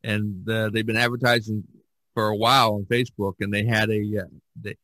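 A man chatting casually as a podcast host, halting and often restarting his phrases. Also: on the low side, little pitch movement, sharp tone. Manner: halting, with repeated false starts. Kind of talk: casual chat about art.

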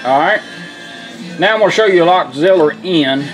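Man, fairly high voice, folksy, casual product demo, workshop, some music behind. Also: lively intonation, fairly quick, rough recording. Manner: folksy, plainspoken. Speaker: product demonstrator, radio restorer. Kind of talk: casual hands-on product demonstration. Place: indoor workshop bench.

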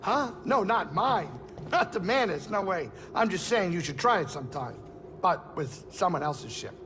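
An actor playing a small, grumbling, cocky alien starship pilot in a video game, with a blustery, fussy, chatty delivery. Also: male voice, high-pitched, sing-song, normal speed, background noise. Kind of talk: comic-relief video game acting. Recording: reverberant, music behind the voice. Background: clear cinematic music.

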